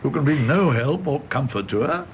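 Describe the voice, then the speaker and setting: An actor playing a world-weary, kindly, self-deprecating older doctor in a radio play, speaking in florid, expressive, drawn-out phrases. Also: male voice, medium pitch, natural voice. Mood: earnest, wistful. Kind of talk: British stage-play radio drama acting. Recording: clear background noise.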